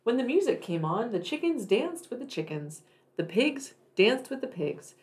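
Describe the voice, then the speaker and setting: Woman reading a picture book, very lively intonation. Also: a little high, normal speed. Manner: addresses young children, emphatic dramatic phrasing. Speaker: read-aloud storyteller for children.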